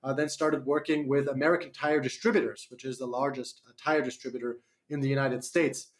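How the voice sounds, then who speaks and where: A man's voice: medium pitch, fairly even intonation, a little fast. A man from Germany with a slight German accent, a startup CEO answering interview questions on radio, hesitant with many fillers and restarts.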